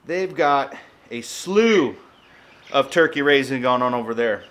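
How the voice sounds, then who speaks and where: A man's voice: mid-range voice, expressive, measured pace, fairly bright tone. A man in his thirties with an American accent, chatting casually to camera in a folksy, colloquial way as a homestead vlogger. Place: outdoors in a yard and in a garage. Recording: background noise, wind outdoors, birds.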